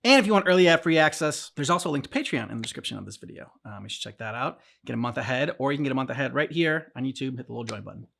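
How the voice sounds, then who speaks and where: A man chats casually as a reaction video host. His voice is husky and gravelly, and he talks quickly over faint music. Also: medium pitch, lively intonation, a bit bright. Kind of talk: casual chat.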